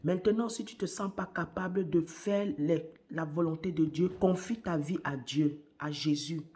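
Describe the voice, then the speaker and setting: A woman preaching a morning sermon while she has a cold. Her voice is worn, and she speaks deliberately, repeating key phrases and sometimes dropping to a hush. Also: low voice. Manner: slow and deliberate, repeats phrases, hushed at times.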